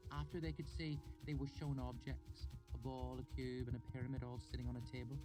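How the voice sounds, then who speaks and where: A man voicing a confident young woman student who tells her fact in a measured way, pausing for effect. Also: mid-range voice, little pitch movement, voice pushed up, lightly acted, low-quality recording. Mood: earnest. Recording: music playing under the voice.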